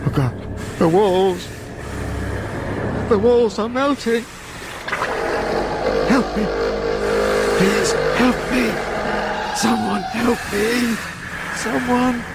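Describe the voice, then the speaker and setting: A man's voice: very high voice, exaggerated voice. An actor playing a terrified man crying out from a nightmare in a radio drama, desperate and pleading. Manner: drawn-out, desperate cries. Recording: loud ambient noise throughout.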